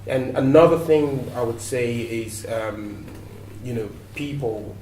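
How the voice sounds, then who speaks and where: A man from Nigeria speaks as a talk show guest at an even, middle pitch. He talks in a relaxed, reflective way and pads his answers with fillers. The sound is roomy, a little distant from the mic, with faint background hiss. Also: slow pace. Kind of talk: interview answers. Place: TV studio.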